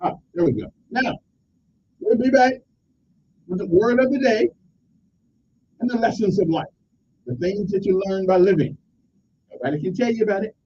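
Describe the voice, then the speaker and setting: A man's voice: high voice, animated, moderate pace, long pauses, a little mellow, muffled recording, a little hiss or hum. A man hosting a radio talk show with a Southern US accent, rambling and joking, often tagging his points with "okay?". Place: radio studio.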